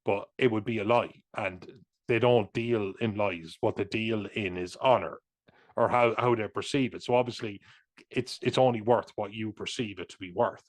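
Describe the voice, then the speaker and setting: A man, co-hosting a casual film chat, rambling with restarts and sudden animated asides. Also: lowish voice, normal speed. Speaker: podcast co-host.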